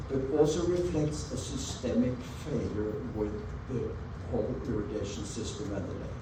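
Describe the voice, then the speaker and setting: A man from Norway with a Norwegian accent, giving an earnest, measured presentation over slides at a community meeting and stressing key words. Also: mid-range voice. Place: meeting room with an audience. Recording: echoey meeting room, mic at a distance, audible background noise.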